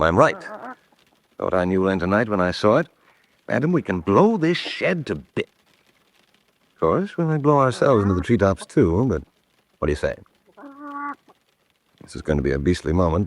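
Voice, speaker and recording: A man's voice: sits low, vocal fry, heavily acted. An actor playing a resourceful, wry man in a radio drama, muttering to himself as he works through a tight spot. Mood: wry, tense. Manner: muttering to himself, wry, clipped British turns of phrase. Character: resourceful trapped man muttering to his animal companion. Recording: faint background noise.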